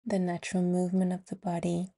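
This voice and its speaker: A woman guiding a yoga nidra meditation, giving soothing, unhurried instructions with long pauses between phrases. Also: lowish voice, monotone, creaky, warm tone. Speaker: meditation guide and podcast host.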